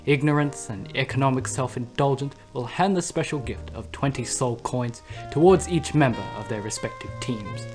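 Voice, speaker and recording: A smug demon radio host acted by a man, theatrical and mock-polite, with a middle-pitched voice. The delivery is a scripted comedic broadcast, suave and sardonic, with music underneath. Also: normal speed, few pauses, darkish tone, natural voice. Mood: breezy, sardonic.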